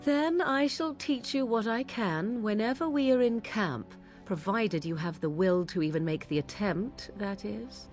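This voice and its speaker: A woman's voice: mid-range voice, a little slow, few pauses, darkish tone, close to an everyday voice. An actress playing an aloof, haughty young witch in a video game, with a formal, disdainful delivery. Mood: haughty, cool. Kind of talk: video game character dialogue. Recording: game audio with music behind.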